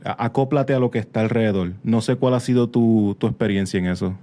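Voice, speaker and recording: A man hosts a conversational podcast. His voice is soft-edged and relaxed in tone, while his storytelling is animated, with bits of English slipped in. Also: fairly low pitch, a little fast. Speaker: podcast host. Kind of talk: casual conversational interview.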